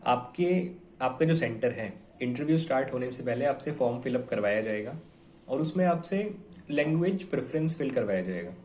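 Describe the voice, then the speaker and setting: A man's voice: medium pitch, a little mellow, slight background noise. Young man, echoey room. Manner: conversational, mixes Hindi with English words, fillers like "theek hai". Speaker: bank officer giving exam advice. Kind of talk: casual advice talk to viewers.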